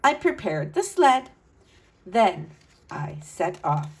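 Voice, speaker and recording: A woman's voice: medium pitch, sing-song, slow pace, slight background noise, voice pushed down. A woman reading a storybook as an earnest, adventurous young boy who tells his own tale dramatically. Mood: determined.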